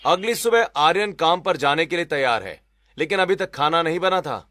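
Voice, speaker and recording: A man's voice: on the high side, animated, moderate pace, pushed and bright, no echo. A man giving scripted narration of a story as its narrator.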